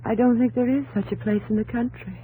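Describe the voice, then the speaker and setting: A woman's voice: fairly high pitch, steady tone, quick pace, phone-quality sound, slight background noise, natural voice. An actress playing a devoted wife who looks back on life with her husband, in the style of 1950s radio drama narration. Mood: gentle, questioning. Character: devoted wife of a mill pioneer, reminiscing. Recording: old 1950s radio broadcast, music behind.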